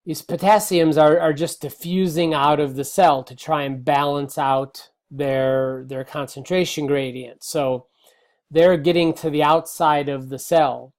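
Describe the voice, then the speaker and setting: A middle-aged male biology teacher giving a recorded slide lecture, slightly hoarse, relaxed and explanatory with many fillers and restarts. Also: a little high, measured pace. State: slightly hoarse, clearing throat.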